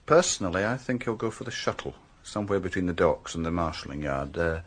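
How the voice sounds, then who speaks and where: A shrewd senior detective in a radio crime drama. His voice is low and husky. He lays things out in a measured, assured way, sure of himself. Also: lively intonation. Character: shrewd, confident male senior detective planning a trap. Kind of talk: naturalistic radio drama dialogue.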